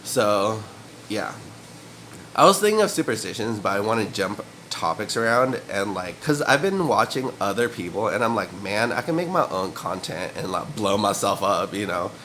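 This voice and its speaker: Young male vlogger, low-pitched, stuffy with a cold, loose filler-heavy ramble, faint room hum behind. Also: sing-song, moderate pace, fairly bright tone, low-quality recording. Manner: loose and meandering, filler-heavy, frequent throat clearing and chuckles. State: sniffly with a cold and cough. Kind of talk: rambling casual monologue to camera. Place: room at home. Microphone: camera mic.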